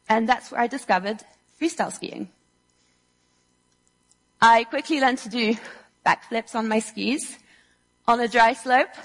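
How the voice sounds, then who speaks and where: A young woman with a slightly high voice delivers a prepared talk to a live audience. She tells personal stories with earnest conviction and an occasional dry, self-deprecating aside. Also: in a large hall, rough recording. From Switzerland. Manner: earnest, storytelling with dry humor. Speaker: speaker giving a talk. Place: lecture room before an audience.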